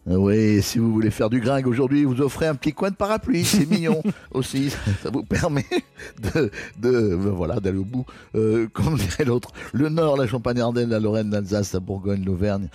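Weather guy on radio, low mellow voice, lots of euh, dry sound. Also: expressive, moderate pace, dry recording. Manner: hesitant, many fillers. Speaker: weather presenter.

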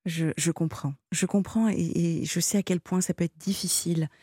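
A woman hosting a live radio call-in show in a conversational way, gently coaxing and attentive, speaking close to a studio microphone. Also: fairly low pitch, fairly even intonation, normal speed, breathy edge, dry recording.

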